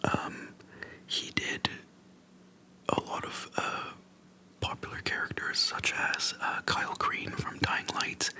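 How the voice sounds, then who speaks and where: An ASMR creator speaking in a soft, breathy whisper close to the microphone, chatting casually and slowly in short, gentle phrases with pauses. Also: low-quality recording.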